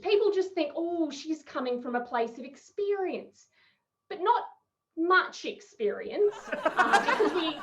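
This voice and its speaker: A thirty-year-old woman with an Australian accent performing wry, expressive stand-up over a headset on a video call, in muffled, noisy audio. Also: high-pitched. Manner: expressive, wry, chuckling between phrases. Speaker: stand-up comedian. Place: small room at home.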